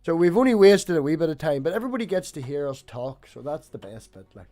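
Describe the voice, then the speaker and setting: Higher-voiced man chatting casually over music. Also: big pitch swings, quick pace, warm tone. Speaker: discussion host. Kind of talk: casual banter and discussion.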